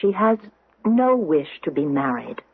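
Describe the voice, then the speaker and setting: Earnest, strong-willed heroine of a period radio play; husky voice, narrow muffled broadcast sound. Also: female voice, low voice, sing-song, a little slow, no room sound, heavily acted. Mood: insistent. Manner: earnest, intense, theatrical. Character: bewildered, strong-willed woman lost in ancient Pompeii. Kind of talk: radio drama acting. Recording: muffled, band-limited old radio recording.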